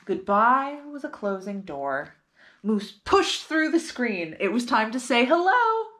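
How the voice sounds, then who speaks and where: A woman's voice: fairly high pitch, sing-song, slow, fairly bright tone. A woman hosting storytime, reading a picture book aloud expressively and putting simple questions to young listeners.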